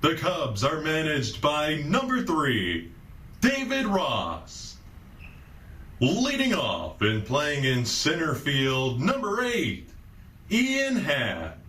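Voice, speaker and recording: Echoey, swooping young American stadium announcer. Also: male voice, a little high, huge pitch swings, fairly bright tone. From Chicago, United States. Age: 20s. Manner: drawn-out, showy announcer delivery. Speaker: stadium public address announcer auditioning. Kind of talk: formal ballpark lineup announcement. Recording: echoey home video, distant microphone.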